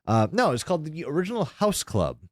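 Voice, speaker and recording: A man's voice: fairly high pitch, sing-song, brisk, no room sound, studio quality. A man co-hosting a podcast in casual chat, informal and emphatic, with plenty of swearing. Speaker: podcast co-host. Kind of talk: casual chat recapping a web horror series.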